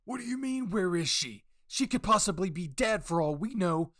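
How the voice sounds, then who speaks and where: A man voicing an angry, blunt young male soldier in a story, accusing and sharp-tongued. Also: high-pitched, lively intonation, normal speed, pitched above the performer's own voice, heavily acted.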